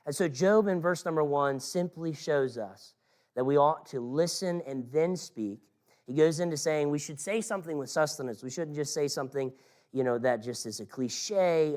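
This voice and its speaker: A man preaching an expository sermon in an earnest, exhorting manner, emphatic and given to rhetorical questions. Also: fairly high pitch. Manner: emphatic, swings from hushed to loud.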